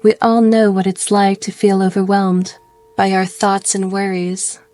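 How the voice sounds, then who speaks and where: A woman's voice: mid-range voice, little pitch movement. Soothing woman guiding a meditation. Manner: gentle, soothing, slow phrasing with pauses. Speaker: meditation guide. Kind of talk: guided meditation narration.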